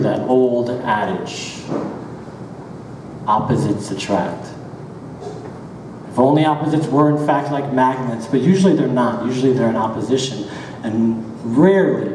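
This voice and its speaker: A man giving a dramatic, deliberate literary reading of first-person prose, with drawn-out pauses and rhythmic repetition. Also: medium pitch, lively intonation, warm tone. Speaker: author reading own work aloud. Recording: very echoey hall, distant mic, background noise.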